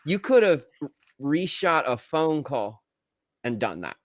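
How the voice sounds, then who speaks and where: A man's voice: a little high, sing-song, slow pace, no echo, studio quality. A man talking casually as a podcast co-host, slangy and emphatic, with some swearing. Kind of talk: casual movie review chat.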